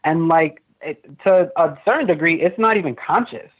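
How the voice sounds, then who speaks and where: A young man with a fairly high voice, speaking thoughtfully as an interview guest with many fillers. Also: moderate pace, fairly bright tone, husky. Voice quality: relatively high voice. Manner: thoughtful, frequent fillers, laughs easily. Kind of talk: casual conversation. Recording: tinny phone-like video call audio.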